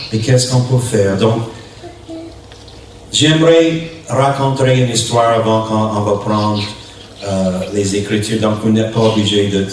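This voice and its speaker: Slow English-speaking Canadian preacher, echoey hall. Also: male voice, lowish voice. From New Brunswick, Canada. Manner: halting, searching for words, short phrases. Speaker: visiting pastor. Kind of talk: sermon. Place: church hall during a worship service. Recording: echoey hall, distant mic, faint noise.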